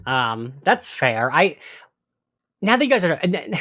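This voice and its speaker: A man's voice: fairly high pitch, sing-song, slow, bright, forceful tone, dry recording, clean studio sound. A man co-hosting a casual fan podcast, enthusiastic and rambling, swearing freely. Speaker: podcast co-host.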